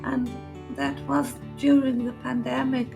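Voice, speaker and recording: Brief: older German woman, retired vet, high and very gravelly, slow, guitar softly behind. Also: level tone. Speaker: retired veterinarian.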